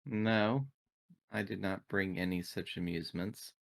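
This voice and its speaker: A man's voice: deep voice, fairly even intonation, measured pace, creaky, fairly bright tone, full character voice, low-quality recording. A man voicing a polite, literal android crew member with formal, precise, unemotional phrasing in a tabletop game. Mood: calm, formal. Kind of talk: in-character tabletop roleplay.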